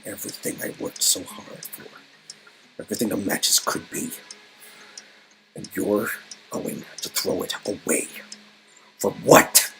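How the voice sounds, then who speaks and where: A man's voice: fairly low pitch, fairly even intonation, measured pace, vocal fry, long pauses, a bit bright, faint music behind, poor audio. A man voicing a menacing, cold villain boss who can turn snarling and frantic, in a dramatic game dialogue reading. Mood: seething anger.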